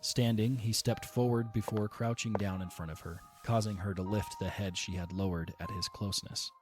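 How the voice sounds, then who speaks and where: Man narrating a story, steady and calm, lowish voice, soft music behind. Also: normal speed.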